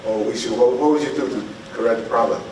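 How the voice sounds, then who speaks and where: A man's voice: medium pitch, fast, a little mellow, slight background noise. Blunt man questioning from an echoey audience. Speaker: audience member questioning the speakers. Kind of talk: informal spoken questioning. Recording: very echoey hall, distant mic.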